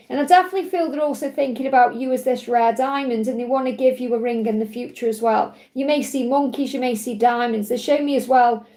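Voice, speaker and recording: A woman giving a live tarot card reading to viewers, her voice husky and tiring, speaking in a soothing, reassuring way that keeps checking in with "okay?". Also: fairly high pitch, dark tone.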